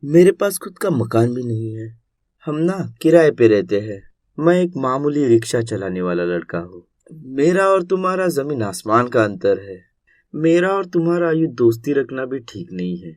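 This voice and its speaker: A man voicing a humble, kind, earnest young rickshaw driver in an animated moral story. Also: medium pitch, normal speed, dry recording, clean studio sound, voice pushed down, close to an everyday voice. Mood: humble, sad. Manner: earnest, considerate.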